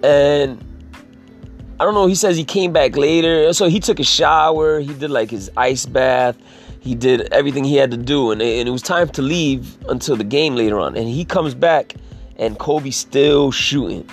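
A man with a raspy voice talking in a casual, rambling monologue, swearing freely and leaning on "you know" as he restarts his sentences. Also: mid-range voice, normal speed, soft background music. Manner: profane, filler-heavy, restarts sentences.

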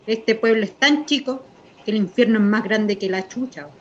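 An actress as a curt young Chilean woman, high-voiced. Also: moderate pace, a little mellow, dull audio, close to an everyday voice. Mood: annoyed. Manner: curt, irritable. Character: defensive, sharp-tongued young working woman protecting her sister. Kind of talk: naturalistic radio drama acting.

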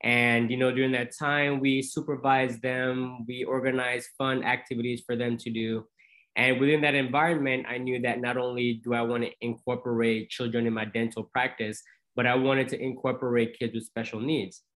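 Even-toned man from Jamaica, interviewed. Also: medium pitch, normal speed, poor audio. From Jamaica, moved to the US as a teenager. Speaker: podcast guest, dentist. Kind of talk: conversational interview answers.

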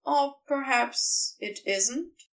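A woman reading a romantic story as scripted narration, tender and unhurried in her storytelling, a little away from the mic. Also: high-pitched, far from the mic, poor audio. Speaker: amateur fan fiction narrator.

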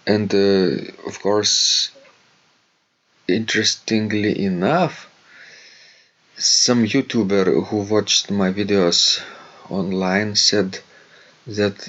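Deep husky man with a Lithuanian accent. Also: dull audio. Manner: hesitant, many fillers. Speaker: podcast co-host. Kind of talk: casual chat, reading a listener letter.

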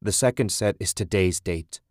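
A man voicing a shaken, nervous man in a horror audiobook, speaking haltingly and uneasily. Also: sits low, a little mellow, no echo, clean studio sound, natural voice. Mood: stunned. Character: shaken, nervous man puzzling over eerie numbers.

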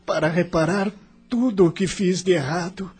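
A man's voice: sits high, normal speed, darkish tone, breathy edge. An actor with a Brazilian accent playing a confused, remorseful spirit of a dead man in a radio drama, anguished and questioning.

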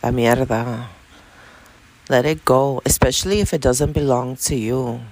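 A woman's voice: a bass voice, measured pace, darkish tone. A woman in her late fifties with a New York accent, giving an informal motivational talk in emphatic, repeated short phrases. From Dominican Republic, raised in Washington Heights, New York City. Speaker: spiritual self-help vlogger.

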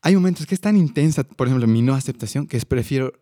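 A man, hosting a casual podcast chat and talking about coaching in an animated, laughing way. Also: mid-range voice, fast talker, dry recording, clean studio sound.

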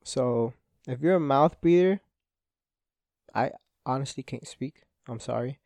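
A man's voice: medium pitch, a little slow, vocal fry, a little mellow, no echo. A young man, a Spanish speaker, hosting a casual solo podcast, rambling and self-deprecating with lots of swearing and "like" fillers. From Spanish-speaking home. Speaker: podcast host.

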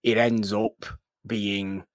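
A man's voice: fairly low pitch, flat delivery, slow pace, dry recording. A man co-hosting a podcast in casual film discussion, often repeating his words for emphasis as he goes. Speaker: podcast co-host.

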